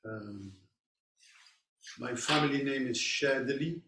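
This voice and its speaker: A man's voice: medium pitch, slow, noisy background. A man from Morocco, speaking as guest teacher to a class in simple, storytelling phrases. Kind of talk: informal talk to a class. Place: meditation hall. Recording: echoey hall, mic far off.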